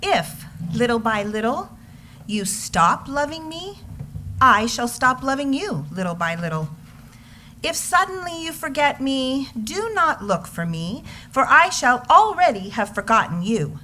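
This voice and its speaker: A woman with a fairly high voice recites poetry very slowly and expressively. She lingers over her phrases like a stage reader. There is faint noise in the live recording. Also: sing-song, pushed and bright, low-quality recording. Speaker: reader in an amateur readers theater.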